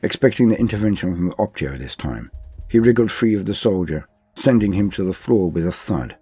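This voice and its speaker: Very deep-voiced man, grave dramatic narrator. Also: animated, dark tone, breathy edge, dry recording, faint music behind. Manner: grave, theatrical, deliberate phrasing. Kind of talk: dramatic audiobook-style story reading.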